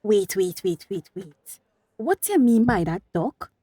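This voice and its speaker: A woman's voice: mid-range voice, animated, a little slow, warm tone, husky, no room sound, clean studio sound. A woman playing a worried, outspoken grieving daughter in a radio drama, speaking insistently in Tobagonian dialect. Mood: alarmed, insistent.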